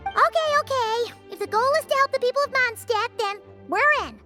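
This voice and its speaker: A woman voicing a tiny, excitable, girlish fairy companion in a video game, with a squeaky childlike voice. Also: moderate pace, music in the background. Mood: resigned, agreeable. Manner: refers to herself in third person, exclaims.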